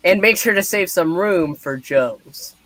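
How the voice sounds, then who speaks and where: A man's voice: high voice, animated, unhurried, a bit bright, no room sound. A man hosting a comic show, casually addressing viewers in a sign-off. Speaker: show host.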